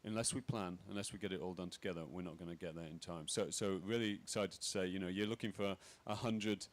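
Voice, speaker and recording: A very deep-voiced man giving remarks at a conference panel. He talks in a halting way, with lots of "um"s and restarts as he works through his points. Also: fairly even intonation. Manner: halting, many fillers and restarts. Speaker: panel speaker. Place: conference pavilion.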